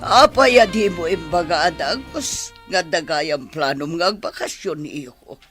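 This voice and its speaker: A woman's voice: sits low, animated, unhurried, a bit bright, soft background music. A worried Ilocano mother. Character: worried mother of a grown son. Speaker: radio drama actor.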